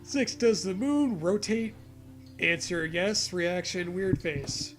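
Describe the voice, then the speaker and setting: A man's voice: high-pitched, lively intonation, slow, over music. A man reading an online horror story aloud as a comedy co-host, dropping mocking, profane asides.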